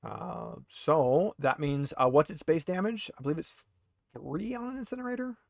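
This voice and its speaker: A man running a tabletop roleplaying game, explaining rules and narrating in a casual, halting way as he thinks aloud. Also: fairly high pitch, expressive, creaky, darkish tone, no room sound, clean studio sound. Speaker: game master.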